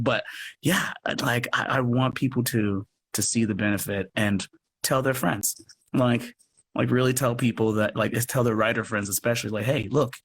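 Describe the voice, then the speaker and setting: A man talking earnestly in a casual online interview, haltingly as he thinks aloud. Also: mid-range voice, a bit bright, a little hiss or hum. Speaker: podcast producer and guest. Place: live social media video call.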